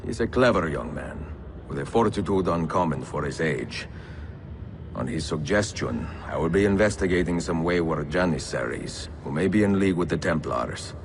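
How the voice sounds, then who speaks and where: An actor playing an aging, grave master assassin in a video game, with an Italian accent and a measured, formal manner. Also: male voice, animated, noisy background. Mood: reflective, grave. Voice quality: deep, gravelly. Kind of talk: video game character acting.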